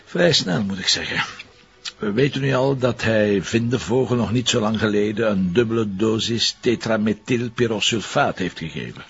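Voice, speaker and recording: A man's voice: fairly low pitch, normal speed, slightly rough, dull audio. An actor playing an anxious, devoted Flemish police commissioner and expectant father in a naturalistic TV drama. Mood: weary, businesslike.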